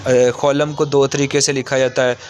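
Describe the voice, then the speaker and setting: A man giving an informal, step-by-step language lesson in a halting, repetitive way, explaining as a teacher does. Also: mid-range voice, flat delivery. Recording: clearly noisy background.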